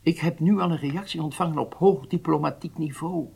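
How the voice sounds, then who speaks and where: An actor playing a senior Israeli statesman in a Dutch radio drama, deliberate and persuasive, conciliatory but firm. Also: on the high side, creaky, darkish tone, husky. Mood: urgent, firm.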